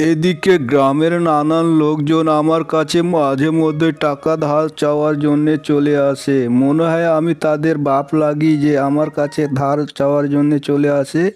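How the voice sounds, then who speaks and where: A man's voice: a little high, darkish tone, natural voice. A man playing a stingy, complaining village moneylender in a cartoon moral story.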